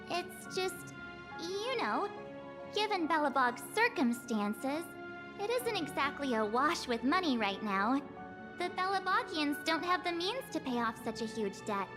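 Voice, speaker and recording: A woman's voice: extremely high pitch, very animated, unhurried, heavily acted. An actress voicing a perky, earnest, slightly awkward young girl adventurer in a video game, with informal phrasing. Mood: protesting. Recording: background music under the voice.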